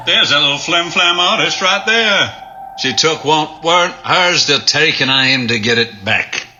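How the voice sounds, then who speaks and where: Harsh, growling old backwoods doomsayer. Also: male voice, on the high side, lively intonation, bright, forceful tone, a little hiss or hum, exaggerated voice. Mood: accusing. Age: older. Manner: growling, folksy dialect, ominous drawn-out warnings. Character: ranting, sinister old backwoods man, menacing and superstitious. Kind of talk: audio drama horror acting. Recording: music behind the voice.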